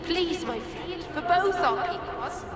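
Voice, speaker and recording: A woman playing the sorrowful, fragmented spirit of a long-dead video game elf, speaking in halting, dreamlike phrases. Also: very high-pitched, lively intonation, a loud crowd, full character voice. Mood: haunted, solemn. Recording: distant, heavy reverberant echo under music.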